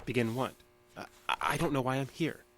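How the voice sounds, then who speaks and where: A man's voice: medium pitch, normal speed, heavy background noise, natural voice. An actor playing a stubborn, guarded diner manager in an audio drama, terse and insistent in his answers. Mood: confused, wary. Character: guarded, stubborn male diner assistant manager under questioning.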